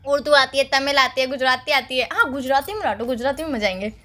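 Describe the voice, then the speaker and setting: Young woman, very high bright voice, teasing and pleading in casual banter on a recorded phone call. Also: fast.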